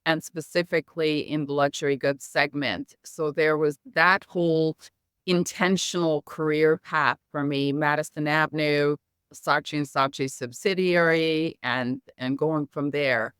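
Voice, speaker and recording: A middle-aged woman, a native Hungarian speaker with an American accent, telling her story as an interview guest in an articulate, measured, formal way. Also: sits low, fairly even intonation, fairly bright tone, smooth, no echo, clean studio sound. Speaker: interview guest, marketing executive.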